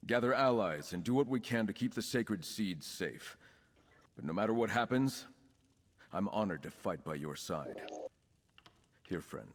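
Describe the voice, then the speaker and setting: A resolute young knight in a fantasy game. His voice is fairly low, formal and earnest, ringing through a long echoing hall. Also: expressive, unhurried, pauses often. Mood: grave, grateful. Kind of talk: video game quest dialogue. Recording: long reverb of stone hall.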